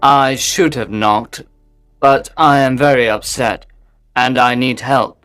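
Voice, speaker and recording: A worried Victorian gentleman, played by a man, speaking slowly and earnestly about his troubles. The voice is close and dry, with soft music faintly under this audiobook scene. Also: mid-range voice, steady tone, smooth, no room sound. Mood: upset. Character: worried, upset Victorian male gentleman visitor.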